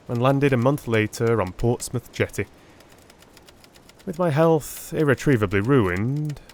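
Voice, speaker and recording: A man playing a weary Victorian army surgeon recounting his memoirs in a measured, formal, theatrical audiobook reading. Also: mid-range voice, a little mellow, natural voice. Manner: measured, formal, literary delivery. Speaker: audiobook narrator.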